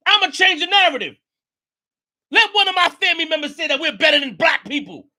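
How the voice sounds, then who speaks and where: Fired-up New man, high and bright. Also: animated, a little fast. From New York. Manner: emphatic, repetitive, rising to shouts. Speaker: show host. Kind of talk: impassioned commentary monologue.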